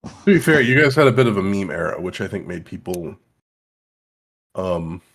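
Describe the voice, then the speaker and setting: Young guy w deep voice, laid back chatty interviewer, laughs a lot, swears casually. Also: big pitch swings, moderate pace, fairly bright tone. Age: young adult. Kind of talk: casual online chat.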